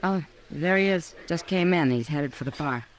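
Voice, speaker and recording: A woman's voice: deep voice, moderate pace, background noise, natural voice. An actress playing a worldly, concerned frontier saloon woman, frank and urgent, in 1950s radio western style. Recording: old radio, busy saloon crowd behind.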